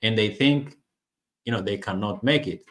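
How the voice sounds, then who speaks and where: Halting man from Rwanda, lowish voice. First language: not English. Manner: halting, many restarts and fillers. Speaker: online business vlogger. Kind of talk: casual personal talk to camera.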